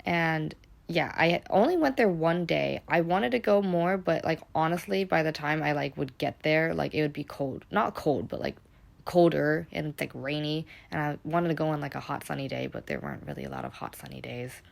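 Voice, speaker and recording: A woman's voice: low voice, expressive, normal speed, gravelly. A young American woman narrating her travel vlog in a chatty, rambling way, full of fillers and casual swearing. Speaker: travel vlogger.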